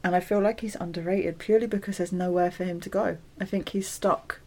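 A woman's voice: on the low side, fairly even intonation, a little fast, darkish tone. A woman co-hosting a podcast, laughing often and giving playful, firm opinions. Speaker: podcast co-host. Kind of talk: casual chat.

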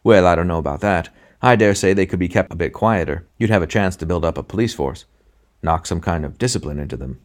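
Storybook schemer played by male reader: shrewd, confiding small-time businessman, deep voice. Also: lively intonation, fast talker. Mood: scheming, eager. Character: shrewd, scheming, furtive intelligent male passenger.

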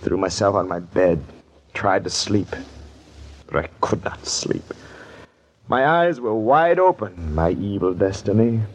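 An actor playing a tormented, obsessed island governor in a melodramatic, anguished 1940s radio drama style. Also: male voice, fairly low pitch, big pitch swings, measured pace. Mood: brooding, haunted. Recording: noisy vintage 1940s radio recording.

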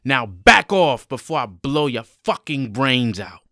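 A man's voice: medium pitch, sing-song, takes it slowly, bright, forceful tone, no echo, clean studio sound, pitched above the performer's own voice, exaggerated voice. A man voicing a hostile police officer in a dramatic story, barking threats and commands. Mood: threatening.

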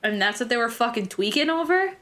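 A woman's voice: fairly high pitch, lively intonation, a little fast, noisy background. A woman co-hosting a true crime podcast in casual banter, informal and giggly, swearing freely.

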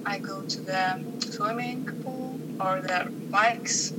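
A woman's voice: medium pitch, slow pace. A woman studying economics, talking in a light, giggly way. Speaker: economics student. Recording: distant mic with loud background noise.

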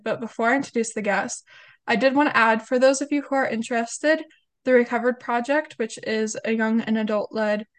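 A young woman with a cold hosts a casual podcast chat. Her voice sits a bit high. She is upbeat and chatty, quick to apologize. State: has a cold. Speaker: podcast host.

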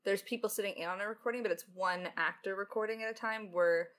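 A woman's voice: mid-range voice, fairly even intonation, fast talker, a bit bright. A woman co-hosting a casual question-and-answer chat as a writer, with a wry, conversational way of talking. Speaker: lead writer and podcast co-host.

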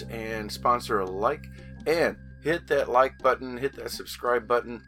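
Bright-voiced male podcast host over guitar. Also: mid-range voice. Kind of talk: podcast sign-off. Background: plucked guitar music.

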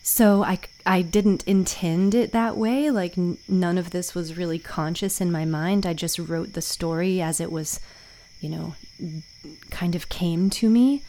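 A woman's voice: on the low side, unhurried, mellow, slight background noise. A woman hosting a podcast, talking casually and thinking aloud with an informal, self-mocking manner. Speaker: host and author of a fiction podcast. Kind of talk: casual podcast talk and recording outtakes.

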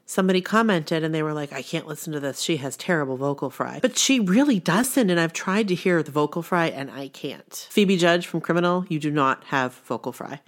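A woman's voice: low voice, fairly quick, hardly any pauses. A woman with a Maine accent and a nasal voice, co-hosting a podcast and chatting casually with her friend. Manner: animated, laughing storyteller. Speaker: podcast co-host. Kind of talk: casual chat.

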